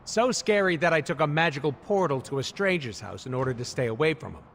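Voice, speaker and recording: Wisecracking mercenary antihero, wildly animated. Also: male voice, fairly high pitch, quick pace, slight background noise. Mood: cocky, joking. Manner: jokey, theatrical, sarcastic delivery. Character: wisecracking, irreverent male mercenary antihero, cocky and hyperactive. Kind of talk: video game cutscene acting.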